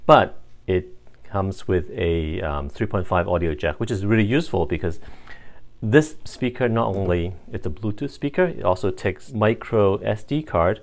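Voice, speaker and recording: Low-voiced man demonstrating a product. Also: expressive, normal speed, gravelly. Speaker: product reviewer. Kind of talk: casual hands-on demonstration, unscripted.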